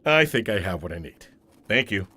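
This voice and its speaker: An actor playing a formal, humourless company auditor in an audio comedy, with a halting, officious, deadpan delivery. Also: male voice, fairly low pitch, husky, full character voice. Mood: deadpan, probing.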